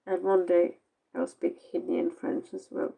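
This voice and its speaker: A woman's voice: lowish voice, monotone, normal speed, vocal fry, dark tone, poor audio. A Norwegian-accented woman, a native Norwegian speaker, giving a casual late-night tarot reading in a blunt, sarcastic, rambling way, sounding tired. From Norway. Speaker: tarot reader.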